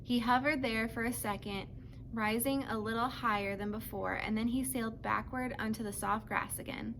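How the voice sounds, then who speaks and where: A woman's voice: a little high, steady tone, moderate pace, noisy background. A woman narrating a children's book as a read-aloud, telling the story to young listeners. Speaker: storybook reader.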